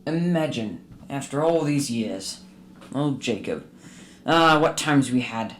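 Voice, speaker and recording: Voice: medium pitch, expressive, takes it slowly, a little hiss or hum. Someone playing a bitter old miser. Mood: wistful. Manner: curt, scolding, grumbling. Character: bitter, miserly old male businessman, grumbling and scornful. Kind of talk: radio drama acting.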